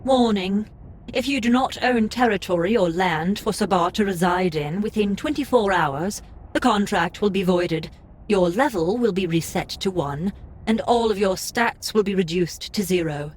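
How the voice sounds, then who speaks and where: A woman voicing a cool, formal game system interface in an audiobook, announcing its notices in a measured way. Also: mid-range voice, lightly acted.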